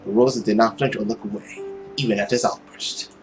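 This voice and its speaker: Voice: medium pitch, fairly even intonation, a little fast, over music. A video host reading a visual novel aloud as a theatrical narrator, with casual asides.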